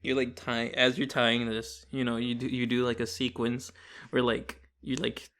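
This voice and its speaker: A man's voice: medium pitch, flat delivery, moderate pace, a bit bright. A young man co-hosting a podcast in casual comedic chat, rambling through his stories with plenty of swearing. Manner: joking, profane, rambling storyteller. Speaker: podcast co-host.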